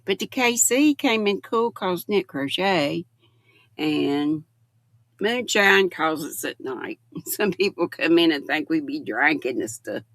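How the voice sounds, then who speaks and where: Older woman, gravelly voice, drawl, dry close sound. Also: sits low, expressive, slow pace, fairly bright tone, no room sound. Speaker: livestream host. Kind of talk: casual chat with live viewers.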